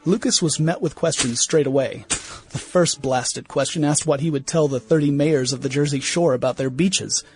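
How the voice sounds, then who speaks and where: An actor playing an irritable, self-important 1910s museum scientist, delivering a brisk, opinionated diary monologue in a radio play. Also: male voice, a little high, close to an everyday voice. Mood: irritated, assured. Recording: music bed under the voice.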